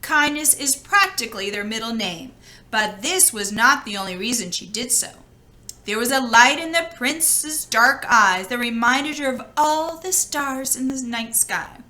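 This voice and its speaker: A woman reading a children's story aloud expressively, with drawn-out, dramatic emphasis. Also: on the high side, sharp tone. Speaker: storybook reader. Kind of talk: expressive children's read-aloud, with casual asides.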